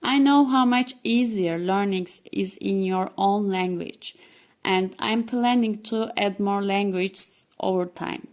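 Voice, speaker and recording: A woman whose first language is Turkish, presenting a scripted walkthrough of software she built. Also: medium pitch, slow. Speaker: developer demoing her software. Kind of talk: scripted screen-recording walkthrough.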